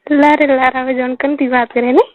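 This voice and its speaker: A woman with a Marwari accent speaks in a high-pitched voice through a muffled, lo-fi phone recording. Her talk is casual and private, made of short replies and repeated questions. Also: flat delivery, fairly quick, a little hiss or hum. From Rajasthan, India. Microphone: telephone.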